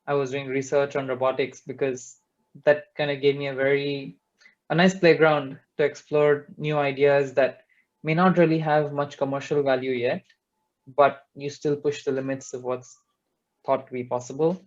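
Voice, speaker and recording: A young man from India talks through his path as a founder, earnest and reflective, with a few restarts. His voice sits somewhat high and stays flat in tone. The sound is dull and lo-fi, like an online call. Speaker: startup co-founder guest. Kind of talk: panel webinar talk.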